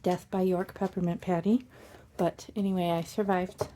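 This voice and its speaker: A hoarse woman hosting a casual crafting unboxing from her craft desk, chatty and bubbly, with little asides and puns. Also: fairly low pitch, level tone, normal speed, mellow. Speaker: crafting channel host.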